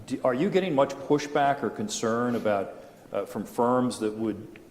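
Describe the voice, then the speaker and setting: A man moderating a panel, asking measured, formal questions. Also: mid-range voice, a little mellow, a little hiss or hum. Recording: echoey hall with long reverb.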